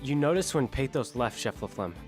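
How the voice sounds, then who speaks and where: A man's voice: mid-range voice, animated, fairly quick, close to an everyday voice. A man playing an earnest, dogged defense lawyer in an audio drama, questioning and a little sheepish. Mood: probing. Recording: music behind the voice.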